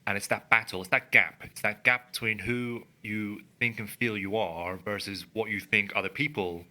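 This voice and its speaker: A man's voice: on the low side, steady tone, moderate pace, bright, forceful tone. A man co-hosting a casual podcast, talking earnestly and emphatically as he thinks aloud. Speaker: podcast co-host. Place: studio in a building. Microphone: studio microphone.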